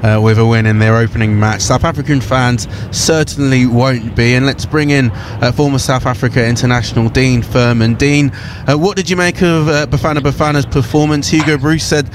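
A man's voice: mid-range voice, brisk, noisy background. A man presenting live sports news and putting questions to guests.

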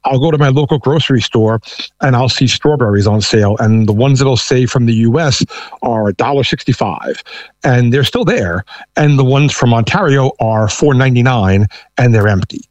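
A man's voice: medium pitch, normal speed, darkish tone, dry recording. A middle-aged or older man from New York with a thick New York accent, talking politics conversationally as a panel guest. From United States, New York.

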